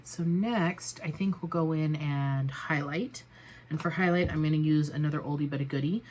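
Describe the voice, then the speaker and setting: Low-voiced chatty woman, a bit raspy. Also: slight background noise. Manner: chatty, self-deprecating, narrating each step. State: slightly raspy from a cold. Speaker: beauty vlogger. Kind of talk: casual makeup tutorial chat.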